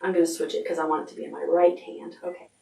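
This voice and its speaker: A woman leading a preschool storytime as a children's librarian, reading a picture book aloud in an expressive, rhythmic way with drawn-out words. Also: sits low, vocal fry, over loud music.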